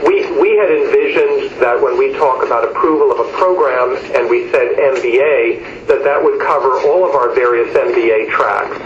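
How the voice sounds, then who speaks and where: Man explaining on an echoey conference line. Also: mid-range voice. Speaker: university representative. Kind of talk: work meeting discussion on a conference call. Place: telephone conference call. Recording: dull, echoey conference phone line, faint noise.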